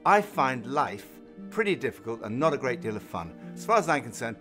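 A man gives an earnest Bible teaching talk, telling stories and acting out quoted voices. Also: medium pitch. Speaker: course presenter. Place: home-like room indoors.

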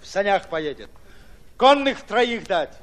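Fiery army commander, high voice, sweeping intonation, slow oratorical delivery, curt orders, radio drama. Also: male voice, sing-song, fairly bright tone, exaggerated voice. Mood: commanding, impatient. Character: brusque, fiery Red Army division commander. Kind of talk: Russian radio drama acting.

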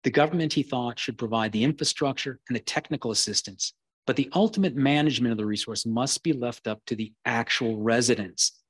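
A man giving a keynote history lecture, reading in a measured way with occasional restarts. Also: medium pitch, husky, dry recording. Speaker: keynote speaker.

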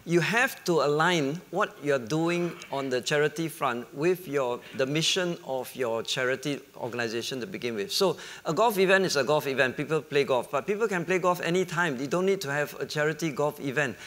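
A middle-aged male moderator with a slightly high voice leads a panel at a conference. He explains ideas in an animated, hands-on way. His voice is picked up by a lapel mic on stage. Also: a little fast, few pauses.

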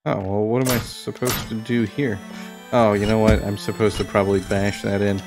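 A man giving casual let's play commentary as a game streamer, thinking aloud in a halting way. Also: on the low side, level tone. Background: game music.

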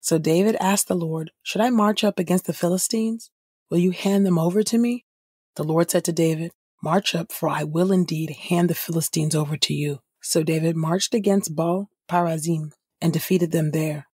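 Female reader for audio Bible: lowish, husky voice, measured delivery, dry close sound. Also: fairly even intonation, darkish tone, dry recording. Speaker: podcast host, scripture reader. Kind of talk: scripted audio Bible reading.

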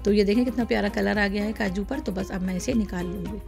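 A woman's voice: medium pitch, fast, creaky, music in the background. A woman hosting a cooking channel, talking through each step of a recipe in a chatty, casual way with plenty of fillers. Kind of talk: casual cooking demonstration voice-over.

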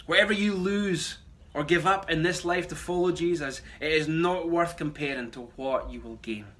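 A man in his thirties preaches a short address from a room at home. His voice sits a little high for a man. He is earnest and emphatic, repeating key phrases for weight. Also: moderate pace, fairly bright tone. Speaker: pastor. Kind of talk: short sermon address to camera. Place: small room at home, indoors.

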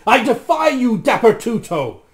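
A man voicing an impassioned, earnest young hero in a storybook reading, loud and declamatory. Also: very high-pitched, very animated, unhurried, voice pushed up, exaggerated voice. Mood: defiant. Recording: echoey room.

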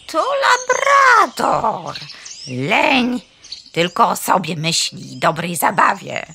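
A proud, fretful mother-hen type from a children's audio play. The voice is high and piercing, swinging widely as it laments. It drags words out slowly and breaks into clucking. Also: wildly swooping pitch, vocal fry, pushed and bright, a little hiss or hum, exaggerated voice. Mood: disdainful. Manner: clucking between phrases, dramatic, lamenting. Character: fretful, proud female broiler hen on a farmyard.

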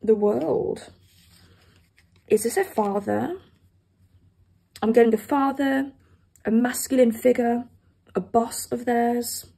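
Croaky-voiced woman reading tarot. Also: a little high, takes it slowly, long pauses, dark tone. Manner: chatty, addresses viewers directly. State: croaky voice after a cold. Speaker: tarot reader. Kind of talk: pick-a-card tarot reading. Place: indoors at a table with cards and candles.

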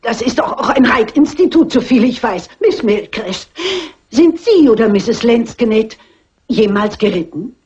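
An actress playing a shrewd, persistent elderly amateur sleuth with polite, pointed manners, in German film dubbing. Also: female voice, on the high side, expressive, a little slow, darkish tone, husky, heavily acted. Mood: probing, insistent. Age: older. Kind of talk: dubbed classic crime film dialogue. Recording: muffled old film soundtrack.

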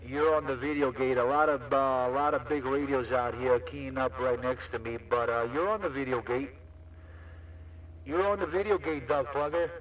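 Bright, forceful man on CB radio. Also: mid-range voice, fairly even intonation, normal speed, a little hiss or hum. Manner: repetitive, slangy patter. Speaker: CB radio operator. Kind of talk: casual CB radio chatter. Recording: distant CB radio transmission.

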